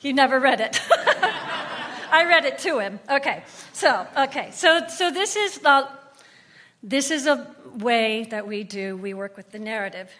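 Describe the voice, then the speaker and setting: Female theatre guest, higher-pitched voice, enthusiastic and emphatic in live interview. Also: lively intonation, measured pace, pushed and bright. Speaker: guest, book-to-stage theatre director. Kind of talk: animated stage interview. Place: on stage before a live audience.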